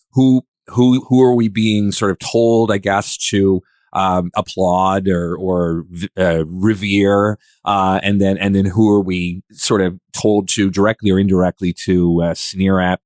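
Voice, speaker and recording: A man's voice: sits low, no room sound, studio quality. A man hosting a casual podcast discussion, reflective and hesitant, often rephrasing as he goes. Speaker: podcast host.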